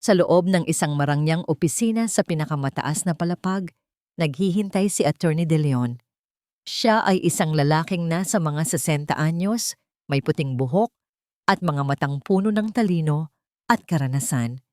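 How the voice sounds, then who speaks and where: A woman's voice: low-pitched, normal speed, darkish tone, no room sound, clean studio sound. A woman with a Filipino accent narrating a dramatic scripted story in a solemn manner.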